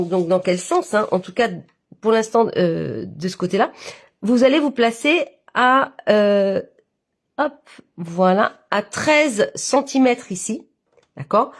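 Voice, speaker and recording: A woman with a head cold, talking nasally as she walks viewers through a papercraft project step by step, with many fillers. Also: a little high. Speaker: papercraft instructor. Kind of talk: live-stream craft tutorial.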